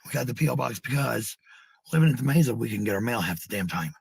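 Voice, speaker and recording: An American man with a husky, gravelly voice rambling casually to livestream viewers, blunt, sweary and given to repeating phrases. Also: mid-range voice, lively intonation, fast, no echo. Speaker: live streamer.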